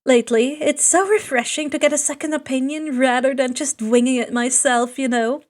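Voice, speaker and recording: A woman's voice: high voice, fairly even intonation, normal speed, natural voice. A woman voicing a friendly teenage boy in a story, earnest and lightly playful.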